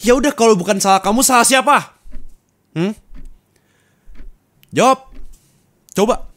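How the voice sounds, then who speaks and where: A man's voice: high voice, measured pace. A young adult man playing a husband talking intimately and colloquially to his wife in an audio roleplay, close on the microphone. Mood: furious. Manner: intimate, colloquial, turning from soft to scolding. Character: young husband, affectionate then angrily scolding his wife. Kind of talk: Indonesian ASMR roleplay acting, addressed to the listener. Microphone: close studio microphone.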